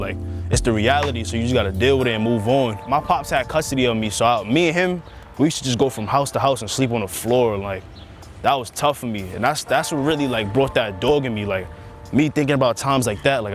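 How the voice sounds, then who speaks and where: Young male athlete, New York accent, brisk easygoing delivery, loud music bed underneath. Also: medium pitch. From Queens, New York. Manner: laid-back, slangy street talk. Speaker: high school football player. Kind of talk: documentary interview.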